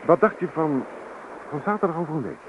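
Lively, high-voiced young farm lad played by a man. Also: fairly quick, close to an everyday voice. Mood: eager, courting. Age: young adult. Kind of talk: Dutch radio play acting. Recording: noisy tinny old radio recording.

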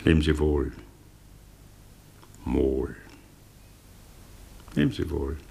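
An aloof, world-weary teacher in a vintage radio drama. The low voice is creaky and drags slowly, with a dismissive manner. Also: male voice, mellow, a little hiss or hum, full character voice. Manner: slow, deliberate, dismissive. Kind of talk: mid-century German radio drama acting.

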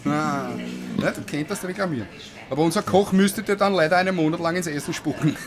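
A man's voice: a little high, fairly quick, music in the background, close to an everyday voice. An actor playing a jovial, gossipy male nurse in a radio play, with an Austrian accent and a teasing, colloquial manner. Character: jovial, cheeky, gossipy care-home nurse. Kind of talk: German radio play, casual dialogue.

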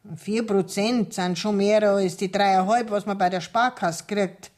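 An actress playing a talkative, aggrieved middle-aged sawmill widow in a radio play, speaking Bavarian dialect in a confiding, folksy way. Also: female voice, mid-range voice, steady tone, measured pace, darkish tone, close to an everyday voice. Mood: confiding, grumbling.

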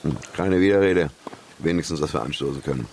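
An actor playing a slick, self-assured red-light boss in a German radio drama, jovial and expansive with menace underneath. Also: male voice, rumbling low, fairly quick, a little mellow, slight background noise.

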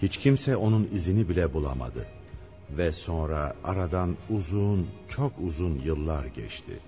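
A man's voice: low voice, lively intonation, normal speed, dark tone, lo-fi sound, soft background music. A man delivering dramatic scripted narration as a storyteller.

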